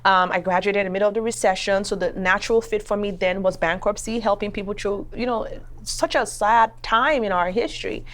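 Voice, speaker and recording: A woman's voice: mid-range voice, brisk. Nigerian woman telling her story conversationally. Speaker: attorney and judicial candidate. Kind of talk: personal storytelling in an interview.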